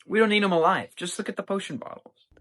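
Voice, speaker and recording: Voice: medium pitch, fast, a bit bright, pitched above the performer's own voice, lightly acted. A teenager playing a fierce gun-slinging cowgirl damsel. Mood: cocky, menacing. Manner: snapping, menacing, cocky.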